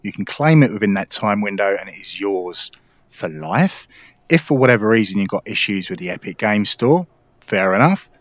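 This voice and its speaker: Man, lowish voice, informal explainer, gaming video commentary, dry close sound, slightly muffled. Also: expressive, normal speed, no room sound. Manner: informal, explanatory, addressing viewers. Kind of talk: casual gameplay commentary.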